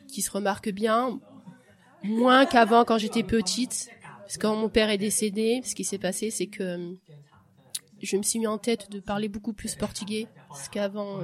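A woman giving a personal testimony, reflective and hesitant, with frequent pauses. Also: medium pitch. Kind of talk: testimony interview.

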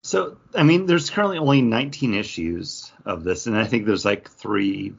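Gravelly, low-voiced man chatting. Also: normal speed. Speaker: co-host of a comics review show. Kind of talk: casual chat, comic book review. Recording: dull lo-fi audio.

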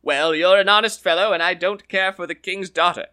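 A man's voice: sits high, brisk, pushed and bright, voice pushed up. A man voicing a sly young farmer trickster, wheedling and boastful, in a raised voice.

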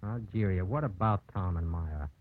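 An actor playing a principled, steady small-town man with an earnest, firm manner in a vintage radio drama. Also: low voice, normal speed, no echo. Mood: guarded, polite. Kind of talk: 1940s-50s radio acting. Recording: muffled old radio broadcast.

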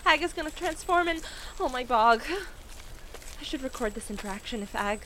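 A woman's voice: high voice, expressive, moderate pace, pushed and bright, slight background noise, rough recording. An actress playing an anxious, wry and indecisive witch who chatters to herself in an audio drama. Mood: flustered, anxious. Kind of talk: supernatural audio drama acting.

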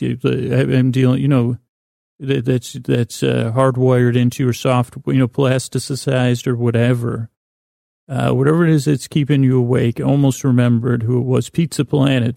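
A man with a creaky, gravelly voice, rambling gently and hesitantly as the friendly, goofy host of a bedtime podcast. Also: medium pitch, no echo, studio quality. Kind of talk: rambling, meandering bedtime monologue.

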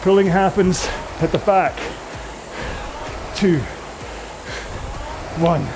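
A man with a high-pitched voice leads a rowing workout over music. He talks casually and conversationally, rambling and joking as he coaches, and takes his time with a slow, broken-up delivery. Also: poor audio.

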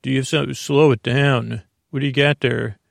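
An officious male authority figure, put on by a podcast storyteller, creaky and close in a dry space. He questions and challenges in halting bursts, like a self-important gym teacher. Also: mid-range voice, fairly even intonation, no echo. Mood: suspicious, officious.

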